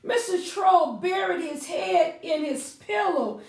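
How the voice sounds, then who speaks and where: A woman's voice: very high voice, lively intonation, takes it slowly, vocal fry. A woman hosting a children's storytime, reading aloud and explaining things simply with questions for young listeners. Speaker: children's librarian storytime host. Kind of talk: children's storytime read-aloud and sing-along. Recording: echoey room.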